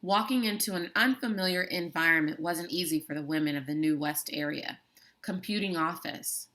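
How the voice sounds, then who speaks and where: A woman's voice: lowish voice, fairly bright tone, low-quality recording. Woman teacher reading a book aloud. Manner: slow, deliberate reading with emphatic words and brief asides. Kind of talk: classroom read-aloud of a book.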